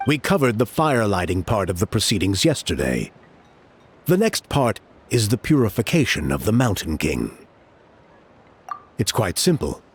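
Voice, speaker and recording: An old tribe elder in a game, genial but pushy, raspy lowish voice, swoops up and down, kinda formal. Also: male voice, exaggerated voice. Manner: formal, smooth-talking, expansive. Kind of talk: video game character acting.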